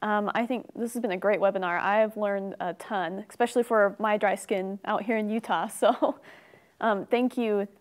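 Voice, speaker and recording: A woman's voice: mid-range voice, steady tone, moderate pace. A young woman hosting a webinar in a studio, reading out questions and making upbeat announcements. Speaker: webinar host. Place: studio set.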